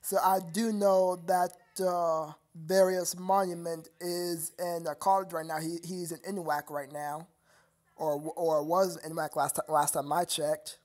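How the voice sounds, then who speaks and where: A man's voice: a little high, flat delivery, measured pace, a little mellow. A young man chatting casually with viewers on his live stream, rambling and stammering with frequent laughter.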